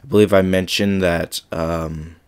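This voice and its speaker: Guy with a really low voice and a bit of a stuffy nose, hosting a podcast, lots of ums, reading a creepy story. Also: steady tone, measured pace, smooth. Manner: casual, hesitant, frequent ums. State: slightly congested from a cold. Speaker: podcast host. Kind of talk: casual chat and scary story reading.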